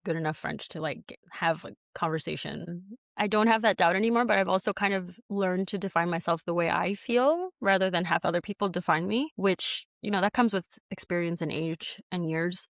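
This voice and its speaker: A woman's voice: on the low side, normal speed, vocal fry, dry recording. Chatty American woman, dry sound. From United States. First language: Spanish. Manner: chatty and animated, many likes and you-knows. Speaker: guest, studio founder and CEO. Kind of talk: casual conversational interview.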